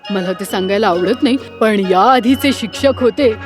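A woman voicing a concerned, apologetic and supportive schoolteacher in an animated fairy tale, speaking carefully. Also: medium pitch, normal speed, over music, close to an everyday voice. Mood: uneasy warning.

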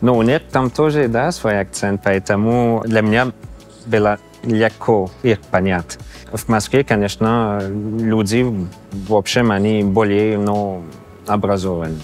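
A man in his thirties from Canada with a Canadian accent, speaking a learned second language with pauses and fillers in an interview. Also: fairly low pitch, fairly even intonation, soft background music. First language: not Russian. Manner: halting, searching for words with many fillers. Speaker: interview guest. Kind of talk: casual interview answers. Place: exhibition hall indoors. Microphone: lapel.

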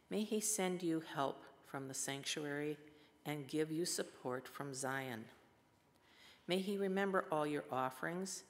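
An older woman reading scripture aloud at a church pulpit, steady and measured. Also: low voice. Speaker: scripture reader. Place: church sanctuary at wooden pulpit. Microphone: gooseneck pulpit mic. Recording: long hall reverb.

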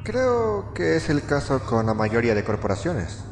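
A young man playing a cocky, wry corporate employee in a Latin American Spanish video game fan dub, joking and expressive. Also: medium pitch, moderate pace. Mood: friendly, teasing. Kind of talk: amateur fandub of a video game. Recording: echoey room, music over the voice.

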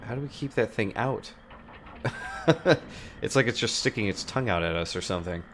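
A man in his thirties with a stuffy nose from a cold, hosting and talking through a game he plays, greeting lively at first and then musing quietly to himself, recorded on a studio mic in a room at home. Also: moderate pace, slight background noise. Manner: lively greeting, then low muttered thinking aloud while playing. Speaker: let's play host. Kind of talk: gameplay commentary. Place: home room with shelves of plush toys, indoors. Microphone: large studio mic on a boom arm.

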